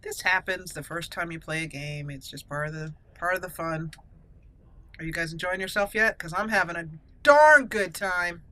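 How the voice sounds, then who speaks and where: A woman's voice: on the low side, normal speed, bright, forceful tone, a little hiss or hum. A woman giving casual, chatty, playful commentary as she hosts a let's play gaming video.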